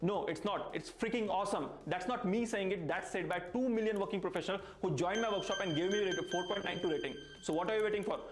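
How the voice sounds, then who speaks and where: A man giving a brash, pushy sales pitch as an instructor in an online ad. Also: quick pace, few pauses, a little hiss or hum. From India. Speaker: workshop instructor promoting a course. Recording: echoey room.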